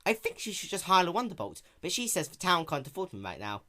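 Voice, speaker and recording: Man putting on a high-pitched brash pegasus girl. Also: very animated, fast talker, sharp tone, heavily acted. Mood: excited. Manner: boastful, casual slangy talk. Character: brash, cocky young female flying pegasus pony.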